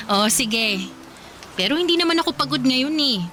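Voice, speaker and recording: An actress playing a loving, playful Filipino wife in a radio drama, affectionate and reassuring. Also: female voice, high-pitched, expressive, measured pace, sharp tone, a little hiss or hum, exaggerated voice. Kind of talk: radio drama acting in conversational Tagalog.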